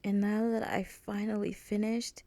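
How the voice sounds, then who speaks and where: A woman's voice: medium pitch, flat delivery, measured pace, dark tone, no echo. A woman reading out her own fan fiction as a scripted storybook narration.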